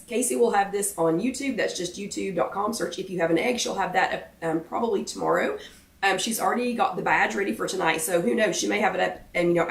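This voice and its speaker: An American woman hosts a casual live chat in a hoarse, worn voice. She is chatty and a little apologetic, speaking at an average pitch. She sounds set back from the microphone in a roomy, echoing space. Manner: chatty, apologetic, self-deprecating. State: hoarse, failing voice. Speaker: host of a live weight-loss chat. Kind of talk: casual livestream chat greeting viewers. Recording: roomy echo, distant microphone.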